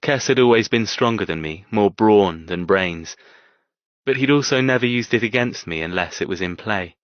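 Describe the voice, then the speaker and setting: Man narrating as a deep-voiced, cold, brooding antihero. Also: moderate pace, dry recording, muffled recording, close to an everyday voice. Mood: brooding, resentful. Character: cold, brooding, tormented man narrating his dark romance. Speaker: audiobook narrator. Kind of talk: first-person scripted fiction narration.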